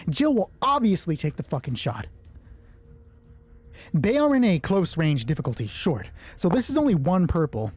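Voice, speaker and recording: A man with a husky voice narrates a solo tabletop game casually, thinking aloud and swearing freely as he talks himself through rulings. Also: fairly high pitch, a little mellow. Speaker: solo roleplay player and narrator.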